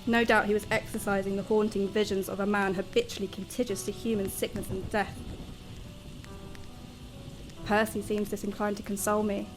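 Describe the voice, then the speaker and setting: Actress as young woman writer, brooding, solemn, formal diction, even mid-pitched delivery, radio drama, faint music behind. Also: moderate pace, natural voice, poor audio. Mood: melancholy, resentful. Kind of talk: radio drama monologue, scripted narration.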